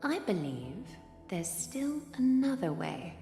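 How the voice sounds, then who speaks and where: A woman voicing a composed, solemn young noblewoman in an anime game, restrained and formal, with quiet devotion. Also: fairly high pitch, very animated, slow pace, heavily acted. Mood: subdued, melancholy. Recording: echoey hall reverb under music.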